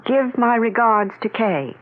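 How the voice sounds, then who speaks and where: A woman's voice: on the high side, expressive, a little slow. An actress playing an earnest, gracious grandmother, insistent and sure of herself, in a 1970s radio drama. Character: earnest grandmother in hospital, convinced of her premonitions. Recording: muffled, phone-like 1970s radio recording.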